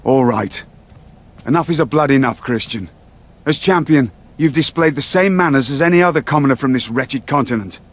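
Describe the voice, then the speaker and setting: Man playing a pompous British gentleman villain. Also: mid-range voice, moderate pace, natural voice. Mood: stern challenge. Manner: pompous, formal, scolding. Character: haughty, snobbish British gentleman wrestler villain. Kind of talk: scripted wrestling game cutscene acting.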